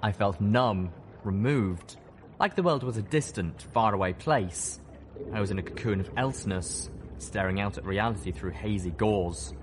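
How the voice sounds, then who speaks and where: A man narrating a first-person story as an expressive audiobook reader. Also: lowish voice, moderate pace, a little hiss or hum.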